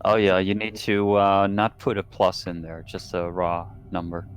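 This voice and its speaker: Low-voiced man explaining game rules. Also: fairly even intonation, moderate pace, clean tone. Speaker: tabletop game player. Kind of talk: casual game-table chat.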